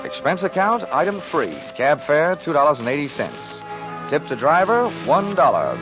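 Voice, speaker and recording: An actor playing a cocky, wisecracking hardboiled investigator with dry sardonic delivery in 1940s radio drama style. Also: male voice, a little high, big pitch swings, moderate pace. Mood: brisk, wry. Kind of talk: 1940s radio acting with first-person narration. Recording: dull 1940s radio recording with music.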